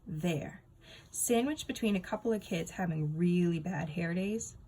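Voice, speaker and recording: A woman narrating a children's book aloud in an expressive storytelling style. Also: lowish voice, unhurried, slight background noise. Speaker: storybook reader.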